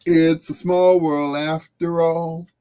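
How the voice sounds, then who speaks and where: A man's voice: on the high side, flat delivery, slow, mellow, a little hiss or hum. A middle-aged or older American man chatting casually and jovially with viewers on a livestream, with a folksy way of drawing out and repeating phrases. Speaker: livestream host.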